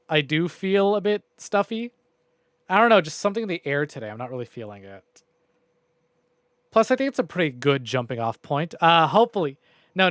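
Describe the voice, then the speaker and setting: A man's voice: a little high, fairly bright tone, no echo, studio quality. A man livestreaming games, talking to viewers in an informal, rambling way with some mild swearing. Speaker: video game livestreamer. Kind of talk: casual chatty stream sign-off to viewers.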